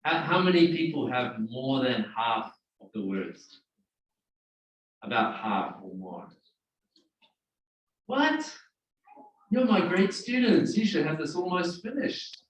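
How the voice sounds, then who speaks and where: A man's voice: a little high, big pitch swings, slow, long pauses. A man leading a class as teacher, coaxing students with questions in interactive classroom talk. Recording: echoey room, distant microphone.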